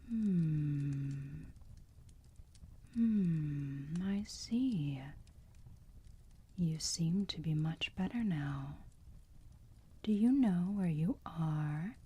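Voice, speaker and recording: A woman playing a motherly, teasing vampire who soothes a wounded enemy in a slow, breathy whisper, in an ASMR roleplay. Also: fairly low pitch, expressive, dark tone, exaggerated voice. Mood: teasing, soothing. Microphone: close microphone.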